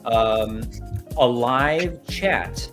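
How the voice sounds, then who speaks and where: A male co-host chatting casually as he walks through a new music site. He rambles, restarts his sentences and chuckles along the way, at a middle pitch. Music plays clearly underneath his voice. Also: lively intonation, very slow. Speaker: co-host of an online music show. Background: music playing.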